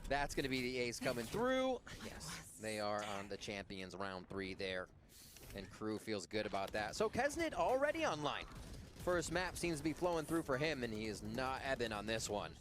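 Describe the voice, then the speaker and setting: A man's voice: mid-range voice, wildly swooping pitch, noisy background. Male esports play-by-play caster. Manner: quick broadcast play-calling. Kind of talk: play-by-play commentary.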